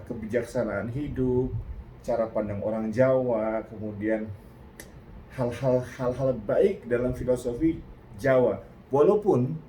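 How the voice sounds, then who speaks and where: An older Indonesian man giving a casual reaction talk, reflective and earnest, pausing and restarting often. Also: mid-range voice, dark tone, a little hiss or hum, poor audio. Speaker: host.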